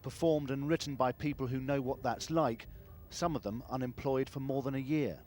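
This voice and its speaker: A man's voice: medium pitch, moderate pace, a little mellow. A man narrating a scripted television news report.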